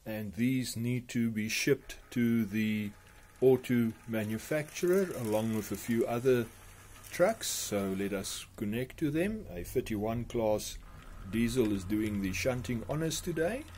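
A man narrating a hobby video in measured, broken phrases with pauses. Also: medium pitch, lively intonation, a little mellow. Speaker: model railway hobbyist narrator. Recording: clearly noisy amateur recording.

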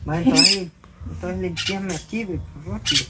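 An actor playing an impatient, whiny city boyfriend. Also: male voice, high-pitched, moderate pace, natural voice. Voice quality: whiny, complaining. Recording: loud noise, voice from a distance.

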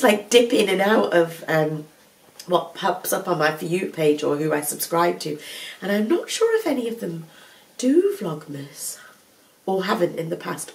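A woman's voice: mid-range voice, vocal fry, a little mellow. Stuffy-nosed woman vlogging from her living room. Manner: rambling, self-correcting, chatty. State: congested, stuffy with a cold. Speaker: vlogger, full-time mum. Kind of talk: casual chatty vlog to camera. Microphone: camera on a tripod at a distance. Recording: mic somewhat far off.

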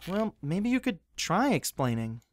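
A performer voicing an excitable, bossy, bookish preteen boy adventurer in a cartoon comic dub, easily exasperated. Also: medium pitch, a little slow, no room sound. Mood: annoyed. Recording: music behind the voice.

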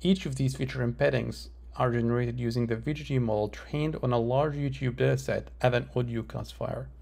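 Guy reading out a research talk, kinda gravelly fry in the voice, mid pitch, formal and measured. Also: a little mellow. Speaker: researcher presenting a conference paper. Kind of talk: scripted academic presentation narration.

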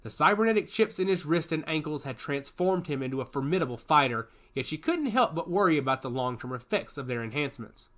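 A man reading a science fiction story aloud as a narrator, shifting his pitch up for the characters' lines. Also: animated, fast talker, hardly any pauses, a bit bright.

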